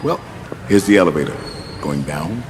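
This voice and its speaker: A man's voice: deep voice, animated, normal speed, noisy background. A man acting in a radio play as an earnest local guide in Brazil, explaining things like a storyteller.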